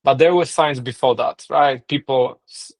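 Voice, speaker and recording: A man's voice: medium pitch, moderate pace, creaky. A middle-aged man with a Polish accent, a non-native English speaker, being interviewed on a podcast and thinking aloud with frequent restarts and "right?" checks. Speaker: co-founder and marketing lead, guest.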